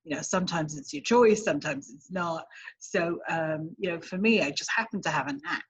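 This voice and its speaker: A woman with a London English accent, speaking as an immigration lawyer guest in a casual interview, thoughtful and halting with many fillers. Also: fairly low pitch, animated, slightly rough, low-quality recording. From England.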